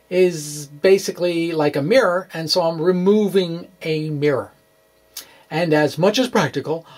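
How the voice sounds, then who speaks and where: A middle-aged man presenting a blog aloud with rambling asides, speaking in a halting, hesitant way and often correcting himself. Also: fairly high pitch, darkish tone. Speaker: physics blogger and vlogger. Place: small indoor room with bookshelves.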